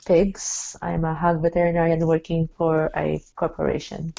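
A woman's voice: sits low, monotone, moderate pace, gravelly, dark tone. Woman on a dull, lo-fi line. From Philippines. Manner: friendly, with frequent ums and light laughs. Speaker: guest, veterinarian. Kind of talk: interview answers.

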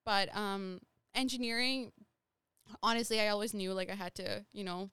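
A woman's voice: medium pitch, steady tone, moderate pace, pushed and bright, clean tone, no echo. A young woman from Nepal, a guest in a casual interview, rambling and full of "like" fillers.

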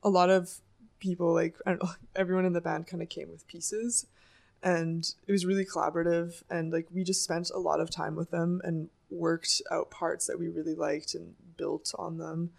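A young woman musician with a low voice, rambling and laughing a lot as she talks about writing songs. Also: level tone, moderate pace, vocal fry, a little mellow. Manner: giggly, rambling, many "like" fillers. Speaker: singer and songwriter. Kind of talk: casual interview chat.

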